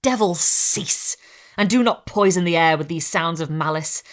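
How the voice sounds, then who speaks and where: Woman doing a young man scientist, furious and resolute, loud defiance in a storybook reading. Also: fairly low pitch, moderate pace, close to an everyday voice.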